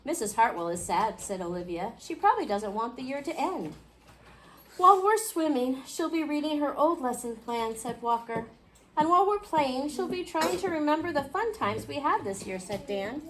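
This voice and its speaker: A woman reading a picture book aloud to a class, expressively, pausing to question her young listeners. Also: sits high, expressive, a bit bright. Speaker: children's storybook reader. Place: indoor school classroom. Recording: clearly noisy classroom recording.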